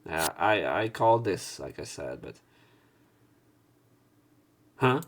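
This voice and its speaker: A man's voice: deep voice, big pitch swings, slow. A man hosting a let's play, reading a game's narration aloud and commenting as he goes. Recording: game music behind the voice. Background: video game music.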